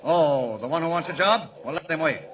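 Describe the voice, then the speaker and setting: A man acting a gruff, impatient newspaper editor with blustery, clipped delivery in a 1940s radio style. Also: a little high, lively intonation, brisk, natural voice. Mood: irritated, flustered. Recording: dull old radio recording, chatter over it.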